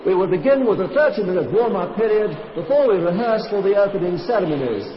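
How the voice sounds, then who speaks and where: An actor playing a formal ice-rink announcer in a vintage radio drama, measured and official. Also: male voice, high voice, lively intonation, slight background noise. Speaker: arena announcer. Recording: echoey hall, muffled old radio.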